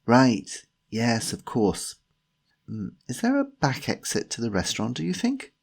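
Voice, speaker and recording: A man's voice: medium pitch, huge pitch swings, unhurried, creaky, breathy edge, voice pushed up, full character voice. A polite, uneasy man from an audiobook, acted by a male reader. He speaks hesitantly and tries to placate. The intonation rises and falls a lot as he bluffs awkwardly.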